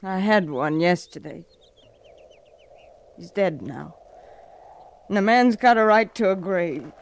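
A woman's voice: mid-range voice, slow. A small frontier widow in an old radio western. She sounds weary and bitter, guarded against strangers, with grief under every plain phrase. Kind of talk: 1950s radio western acting.

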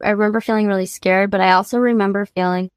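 A woman's voice: medium pitch, fairly even intonation, fast, smooth, no echo, clean studio sound. A young woman from the United States, a singer-songwriter guest, telling her life story candidly and earnestly, laughing easily as she talks.

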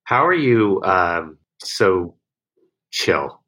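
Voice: low-pitched, very animated, extremely slow, fairly bright tone, slightly rough. A podcast co-host asking a casual, easygoing question. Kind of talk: casual chat.

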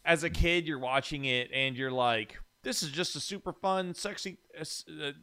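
Bright, higher-voiced man bantering casually. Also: moderate pace. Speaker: podcast host.

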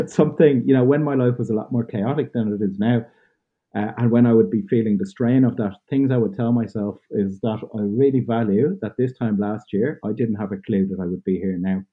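Husky Irish man on a tinny line. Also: on the low side, brisk. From Ireland. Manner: earnest, thoughtful, loose phrasing with many fillers. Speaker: podcast guest. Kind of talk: reflective interview answers.

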